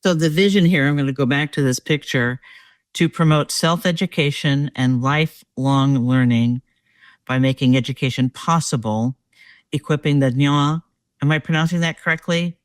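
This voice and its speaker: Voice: mid-range voice, no echo. An executive coach hosting an interview, guiding the guest with questions and reading captions aloud. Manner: guiding, reads captions aloud, pauses to check pronunciation.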